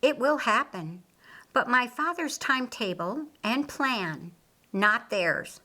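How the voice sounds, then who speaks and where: A woman voicing a gentle, calm, assured male holy teacher in a Bible story audiobook. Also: fairly high pitch, pitched above the performer's own voice, heavily acted. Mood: gentle, resolute. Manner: gentle, deliberate.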